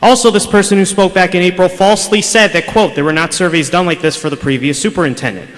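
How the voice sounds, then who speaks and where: A man's voice: high voice, fast talker, a bit bright. A man giving a pointed, combative public comment at a school board meeting, speaking into a podium microphone in a city meeting chamber. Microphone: podium microphone over public address. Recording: public address audio in a reverberant hall.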